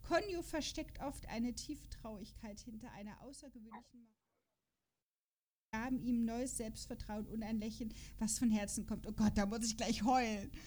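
A woman's voice: on the high side, normal speed, a little mellow, slight background noise. A woman hosting a casual podcast, chatting and reading out bits with playful, gushing exclamations. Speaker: podcast host. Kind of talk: casual podcast chat, reading out character profiles.

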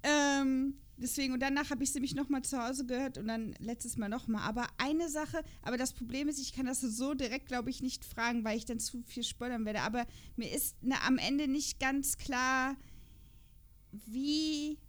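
A woman's voice: high voice, steady tone, normal speed. A woman co-hosting a podcast, chatting casually about an audio drama in a rambling, self-correcting way. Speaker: podcast co-host.

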